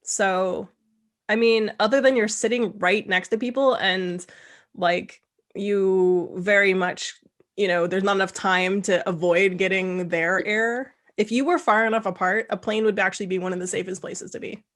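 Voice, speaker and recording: Chatty woman host for a relaxed costume-channel chat, mid-pitched voice. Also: moderate pace. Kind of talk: casual video-call chat.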